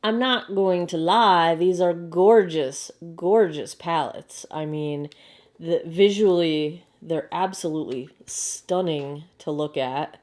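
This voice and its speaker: A young woman with a hoarse, croaky voice, chatting casually as she unboxes beauty products to camera. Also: lowish voice, darkish tone. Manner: chatty, bubbly, quick asides. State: hoarse, frog in throat. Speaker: beauty vlogger.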